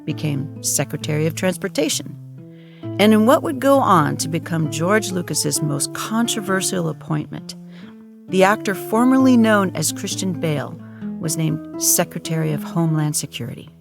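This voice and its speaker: Low, creaky woman narrating solemnly over music. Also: lively intonation. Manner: solemn, measured, documentary-like delivery. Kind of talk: dramatic scripted-style narration. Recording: clear music behind.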